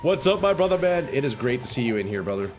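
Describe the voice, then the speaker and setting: A man streaming a game live, chatting casually with viewers, swearing and reacting loudly, his voice going a little hoarse. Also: mid-range voice, big pitch swings, quick pace. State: slightly hoarse, losing voice. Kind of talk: casual live-stream chat while playing. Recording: faint game music behind.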